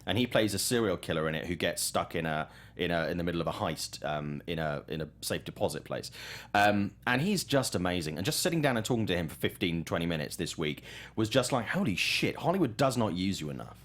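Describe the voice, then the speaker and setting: A man's voice: sits low, sing-song, a little fast. A man hosting a casual film talk show, opinionated and enthusiastic, with some swearing. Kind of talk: casual entertainment discussion.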